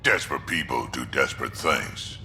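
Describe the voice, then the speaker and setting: Gruff, extremely deep game companion. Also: male voice, moderate pace, bright, forceful tone, a little hiss or hum, exaggerated voice. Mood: grim. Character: gruff, hard-bitten male squad companion. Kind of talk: video game dialogue.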